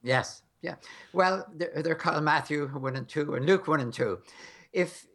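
A man's voice: a little high, unhurried, fairly bright tone. An American man talking as a guest in an interview, frank and emphatic with a wry edge. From United States. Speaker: guest scholar. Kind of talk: conversational interview.

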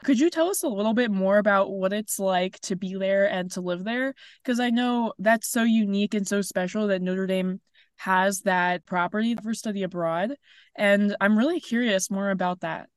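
A woman's voice: medium pitch, no echo. Young woman host, dry close sound. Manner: friendly, encouraging, many "very nice" and "wow" replies. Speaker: podcast host. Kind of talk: interview hosting.

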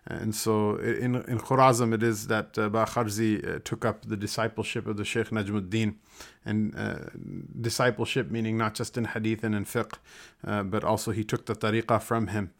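A man with a fairly low voice gives an informal lecture as an Islamic teacher. He moves haltingly through his material, wandering into scholarly asides as he explains religious history. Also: flat delivery.

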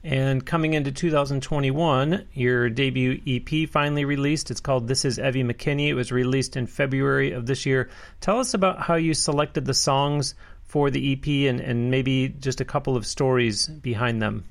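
A man hosts an interview show and reads his promo and questions in a polished, measured manner. His voice has a gravelly fry running through it. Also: medium pitch, fairly even intonation. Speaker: podcast host.

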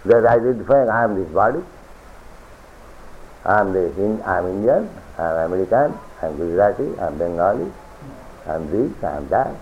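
A man giving a philosophical sermon as a spiritual teacher, lecturing deliberately, repeating phrases and reciting scripture. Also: sits low, expressive, slight background noise. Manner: deliberate, repetitive lecturing with chanted scripture quotes. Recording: tinny lo-fi archival recording.

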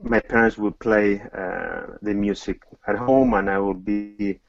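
A man's voice: lowish voice, steady tone, unhurried, highs cut off. A middle-aged man from Argentina, a tango teacher answering interview questions hesitantly, with many fillers and restarts.

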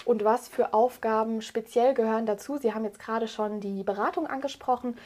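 A woman with a slightly high-pitched voice works as an interviewer. She delivers prepared introductions and questions in an orderly interview style. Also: steady tone, a little fast, darkish tone.

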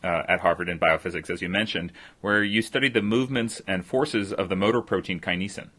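A young man hosts a science interview, reading prepared introductions and questions. He talks quickly in a low voice with a bright, forceful tone. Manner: brisk, reads prepared text. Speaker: interview host, postdoc.

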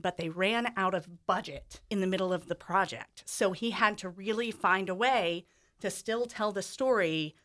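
A woman's voice: mid-range voice, a bit bright. A woman interviewed as a stage director, explaining in a thoughtful, articulate way.

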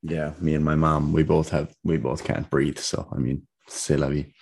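A young man co-hosting a podcast, a trainee doctor explaining health topics in a measured, casual way. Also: a bass voice, level tone, darkish tone, slightly rough. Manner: explanatory, measured, occasional fillers.

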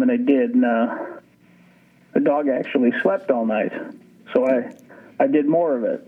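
A man in his forties, from Ukraine, a sled dog musher answering interview questions in a laid-back, dry-joking way over a phone line. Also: medium pitch. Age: 40s.

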